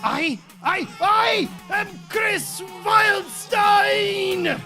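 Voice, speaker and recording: A man's voice: extremely high pitch, drawn-out words, vocal fry, music in the background, full character voice. A man playing a deranged, grandiose villain in a comic horror radio drama, ranting theatrically about rebirth. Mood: manic. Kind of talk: over-the-top comic horror radio acting.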